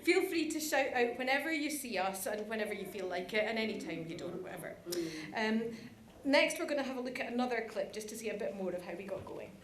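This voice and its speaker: High-voiced woman presenting in a roomy hall. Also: lively intonation, fast talker, hardly any pauses. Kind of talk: conference presentation. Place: large conference room. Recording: roomy, clearly noisy conference hall recording.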